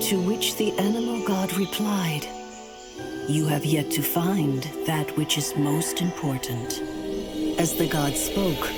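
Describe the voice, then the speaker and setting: Solemn storybook narrator for a game fable, lowish and slow, big music behind her. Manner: measured, solemn. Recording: loud music under narration.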